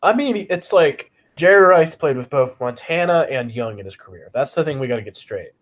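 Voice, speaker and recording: A man's voice: on the high side, expressive, fairly quick. A man co-hosting a casual sports podcast while he has a cold and sniffles. He recites game stats bluntly and swears often. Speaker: podcast co-host.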